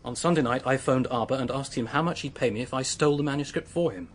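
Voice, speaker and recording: A man's voice: medium pitch, fast talker, a little hiss or hum, natural voice. An actor in a radio drama playing a desperate, remorseful young man, speaking in an earnest, pleading way. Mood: confessing. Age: young adult. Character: desperate, remorseful young male clerk confessing to a killing.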